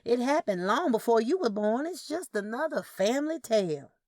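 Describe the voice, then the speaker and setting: A woman's voice: a little high, sing-song, moderate pace, darkish tone, no echo, studio quality, voice pushed up. A woman voicing a skeptical middle-aged female relative in an audio story, emphatic and dismissive.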